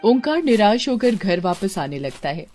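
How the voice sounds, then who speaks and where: A woman's voice: medium pitch, very animated, normal speed. A woman giving scripted narration of a moral story as the storyteller. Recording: prominent music under the voice.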